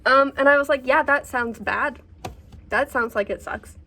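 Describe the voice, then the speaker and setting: A woman chatting casually about books in a vlog, halting as she thinks aloud. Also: sits high, bright, forceful tone. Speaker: book reviewer and vlogger.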